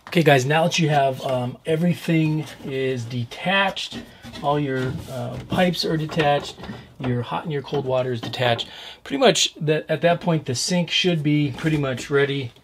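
A man's voice: fairly high pitch, moderate pace, slight background noise. A man in his forties explaining a motorhome sink swap to camera in a chatty, self-deprecating way, full of fillers and restarts, inside a motorhome kitchen. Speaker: RV renovation vlogger. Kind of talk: casual DIY how-to talk to camera.

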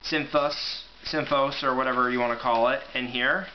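Male, 20s, casual amateur vlogger, mid-pitched, dull camera audio with some noise. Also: fairly even intonation, normal speed. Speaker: amateur book reviewer. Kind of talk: casual vlog review with read-aloud passages. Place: small room at home, indoors.

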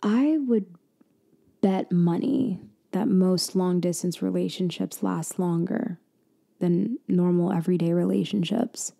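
A woman doing a girlfriend roleplay for ASMR, speaking softly and intimately, slipping in and out of a whisper and often trailing off mid-thought. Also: unhurried, gravelly. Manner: soft, intimate, drifting between low voice and whisper; hesitant, trailing off and restarting.

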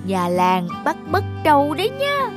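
A woman's voice: sits high, huge pitch swings, a little slow, music in the background, pitched above the performer's own voice, exaggerated voice. A woman voicing a spiteful middle-aged stepmother, bossy and sly with false sweetness.

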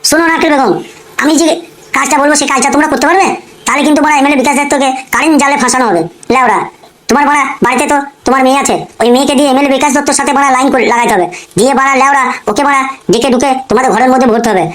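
A woman's voice: sits high, rapid-fire, close to an everyday voice. A woman voicing a short-tempered, foul-mouthed male gangster boss in a comic cartoon, blustering and repeating curses. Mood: menacing. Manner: blustering, curse-laden, repetitive. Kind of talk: amateur comic cartoon dialogue.

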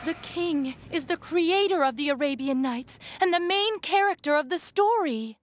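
A woman voicing an earnest, gentle young female genie in a video game, polite and formal. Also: sits high, lively intonation, moderate pace, heavy background noise. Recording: music behind the voice.

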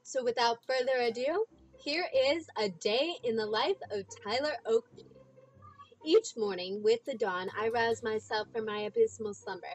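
A woman's voice: on the high side, lively intonation, moderate pace. A young woman giving a mock-pompous dramatic reading in a theatrical, grandiloquent, carefully enunciated way. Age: young adult. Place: small dim room indoors.

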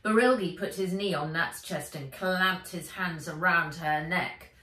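A woman's voice: on the low side, a little slow, far from the mic. Echoey woman reading a children's adventure aloud. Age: middle-aged. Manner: expressive, animated, emphatic storytelling. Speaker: author reading her own book aloud. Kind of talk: dramatic audiobook-style narration for children. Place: indoors, bright room with armchair. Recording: roomy, echoey, away from mic.

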